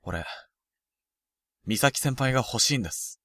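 A man playing a frank, proud, sporty first-year high school boy in a Japanese youth romantic comedy voice drama. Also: expressive, a little slow, fairly bright tone, no room sound. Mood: serious.

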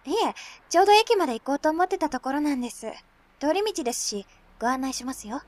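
A woman as a high-voiced, pensive schoolgirl. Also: sing-song, quick pace. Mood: helpful, polite. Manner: reserved, courteous, hesitant. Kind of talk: audio drama acting.

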